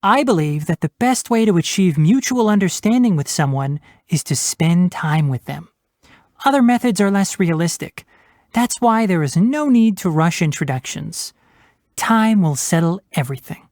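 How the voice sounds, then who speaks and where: A man's voice: high-pitched, expressive, normal speed, a little mellow, dry recording. A man voicing a young fox-eared forest ranger and botanist in a video game, precise and articulate with a dry, wry manner. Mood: calm, matter-of-fact. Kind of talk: video game character voice lines.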